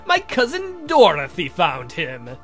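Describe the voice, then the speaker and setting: A man voicing a sardonic, theatrical vampire count for a visual novel game, mocking and campy in a drawn-out way. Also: medium pitch, huge pitch swings, fairly bright tone, soft background music. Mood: gloating, mocking.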